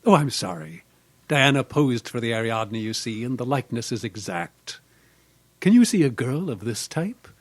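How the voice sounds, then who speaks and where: A man's voice: medium pitch, big pitch swings, moderate pace, no echo. An actor playing a grandiose, cultured sculptor and protective father in an old-time radio drama, theatrical and pompous. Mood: pompous, indignant.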